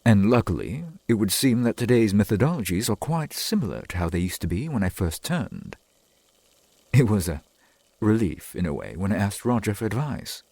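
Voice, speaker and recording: Man playing a breathy, lilting, doting vampire boyfriend. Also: on the low side, animated, darkish tone, dry recording. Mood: eager, earnest. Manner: formal archaic wording, halting, eager to please. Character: doting, old-fashioned, earnest vampire boyfriend, centuries old. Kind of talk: ASMR boyfriend roleplay, theatrical and intimate.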